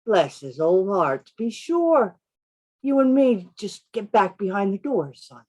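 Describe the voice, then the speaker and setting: A woman's voice: medium pitch, very animated, a little slow, darkish tone. A woman playing a sly, wheedling, falsely friendly male pirate sailor in a radio play. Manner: oily, wheedling, rustic sailor's grammar.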